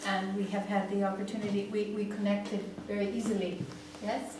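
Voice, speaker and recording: A woman's voice: medium pitch, flat delivery, normal speed. A woman in her sixties, a native Greek speaker with a Greek accent, leading a family therapy seminar in a hall. She lectures with many "um"s and checks in with "yeah?". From Greece. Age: 60s. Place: seminar room. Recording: very echoey room, far from mic, noisy.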